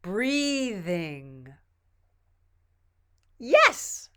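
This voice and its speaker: Female pronunciation coach, very slow and patient, smooth clear voice, slightly low. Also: big pitch swings, a bit bright. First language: English. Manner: models each word twice, patient and encouraging. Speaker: pronunciation teacher. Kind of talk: slow, deliberate pronunciation drill.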